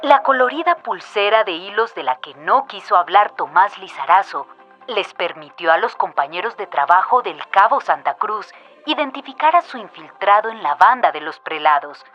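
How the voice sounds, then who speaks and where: A woman's voice: mid-range voice, expressive, moderate pace, fairly bright tone, highs cut off, lightly acted. A woman playing a radio news announcer, reading a formal, emphatic bulletin in an audio drama. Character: female radio news announcer reporting a military conspiracy. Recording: band-limited radio sound with music behind.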